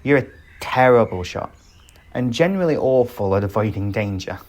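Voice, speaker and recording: A man's voice: fairly low pitch, animated, moderate pace, a little hiss or hum, natural voice. A man playing a sarcastic, teasing rogue bandit protector in a flirtatious ASMR roleplay, exasperated but fond. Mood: bantering, fond.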